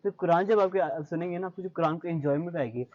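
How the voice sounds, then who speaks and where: A man's voice: fairly high pitch, fast, darkish tone, no room sound, dull audio. A young man with a Pakistani accent answering interview questions in an earnest, reflective way, mixing in English phrases. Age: young adult. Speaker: interview guest, high-achieving student.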